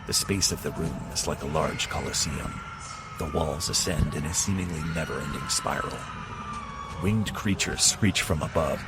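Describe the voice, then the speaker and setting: A man narrating a horror audio drama in the second person with steady, measured storytelling. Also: a bass voice. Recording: loud music score under narration.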